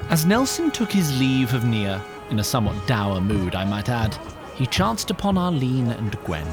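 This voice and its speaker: Storybook narrator, formal, wry, lively pitch swings, light music underneath. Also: male voice, moderate pace. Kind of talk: scripted narration.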